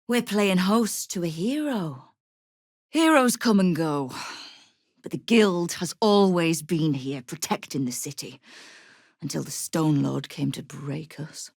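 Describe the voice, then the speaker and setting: Tough female thieves' guild boss, deep for a woman, creaky edge, sardonic, commanding, fantasy video game character. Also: no echo, clean studio sound, heavily acted.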